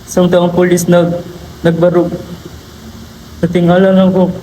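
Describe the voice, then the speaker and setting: A young man with a Filipino accent playing a sombre witness haltingly recounting events in an amateur drama, over background noise. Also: sits high, completely flat delivery, warm tone, echoey room, close to an everyday voice. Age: young adult. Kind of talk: amateur short film drama, halting monologue. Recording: noisy background.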